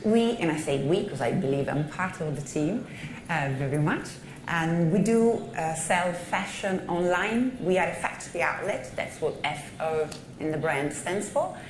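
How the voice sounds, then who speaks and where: A woman's voice: lowish voice, lively intonation, a little slow. A woman from Italy with an Italian accent, speaking enthusiastically as a conference panelist. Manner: enthusiastic, upbeat. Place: conference hall stage. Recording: echoey hall, faint noise.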